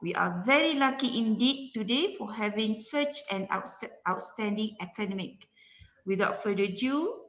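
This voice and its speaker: A woman with a Malaysian accent reading a formal speaker introduction as event moderator, halting and careful, stumbling over the odd word. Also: medium pitch, a bit bright. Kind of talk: formal scripted introduction read aloud. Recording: echoey room sound.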